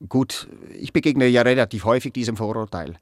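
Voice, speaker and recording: An actor playing a pedantic, self-important musician in a radio play, wordy and hesitant, setting things out with clinical precision. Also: male voice, mid-range voice, animated, a little fast, no room sound, studio quality, natural voice. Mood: self-justifying. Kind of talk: naturalistic radio play dialogue.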